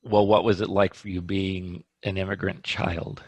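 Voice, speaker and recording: Male interviewer, low voice, dry close sound; brief prompts. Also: little pitch movement, moderate pace, creaky, husky, no echo. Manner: brief prompts and encouraging murmurs. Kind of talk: interview questions.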